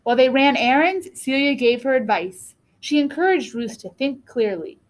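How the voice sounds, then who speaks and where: A woman reading a children's book aloud as a storyteller, with deliberate, expressive phrasing. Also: high voice. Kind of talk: read-aloud storybook narration.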